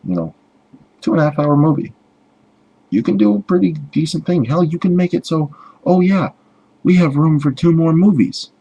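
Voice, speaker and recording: A man giving a casual opinion rant as a video blogger, halting and rambling, with sarcastic griping. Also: a little high, animated, dark tone, slightly rough, highs cut off.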